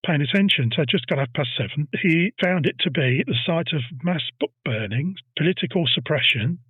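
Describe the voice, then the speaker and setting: A man's voice: on the high side, expressive, fairly quick, slightly rough, no echo, narrow, tinny audio. A man hosting a casual history podcast, telling a story and teasing his co-host.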